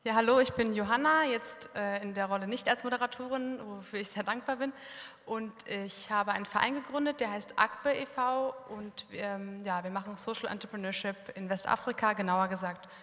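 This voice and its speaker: A woman from Berlin, founder of an association, talking in a chatty, relaxed way on a panel. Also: medium pitch, brisk. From Berlin, Germany. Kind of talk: casual panel talk. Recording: long hall reverb.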